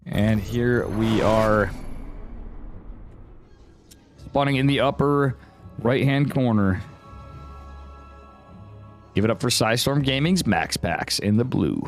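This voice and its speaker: Male American esports caster, mid-pitched, slangy and rambling stream chat, faint music underneath. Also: animated, takes it slowly, long pauses. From upstate New York, United States. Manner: rambling, slangy, chatting back to viewers. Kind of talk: casual livestream chat and game commentary.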